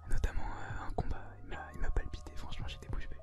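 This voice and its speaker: A young adult whispers a casual anime review as co-host, in informal youth slang. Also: fast talker. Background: music.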